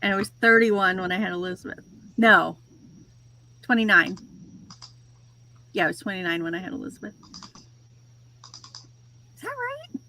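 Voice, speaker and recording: A woman's voice: mid-range voice, sing-song, moderate pace, pauses often, bright, forceful tone, slight background noise, rough recording. Chatty woman in her forties, laughing. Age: 40s. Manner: chatty, self-deprecating, laughs often. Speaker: host of a reseller livestream. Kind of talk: casual chat with lots of laughing.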